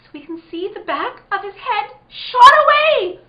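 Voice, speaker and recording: A wild, very high-pitched haunted girl. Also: lively intonation, moderate pace. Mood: horrified. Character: wild-minded, eerie, childlike young woman haunted by ghosts. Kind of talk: theatrical radio-play acting. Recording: dull, echoey lo-fi stage recording.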